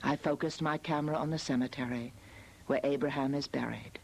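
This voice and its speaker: A woman from the United States narrating a scripted personal documentary as a photographer, in a measured, reflective manner. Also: extremely low pitch, fairly even intonation, vocal fry, a little mellow, slight background noise. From United States, after early childhood in Australia. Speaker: photographer narrating her family history.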